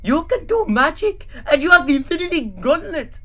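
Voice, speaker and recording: A woman's voice: sing-song, normal speed, pushed and bright, exaggerated voice. A woman voicing a hysterical young man who shrieks and panics beside his brother. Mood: earnest. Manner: shrill and panicky.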